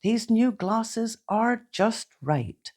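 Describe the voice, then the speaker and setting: A young storybook fairy girl, played by a woman reader. She is earnest and a little clumsy, speaking very slowly with lively swings in pitch. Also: full character voice. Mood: delighted. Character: young clumsy anxious female fairy who becomes delighted.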